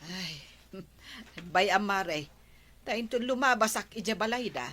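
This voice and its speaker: A woman's voice: medium pitch, lively intonation, measured pace, a bit bright. An actress with an Ilocano accent playing a plain-spoken, scolding village woman in a radio comedy drama. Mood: chatty.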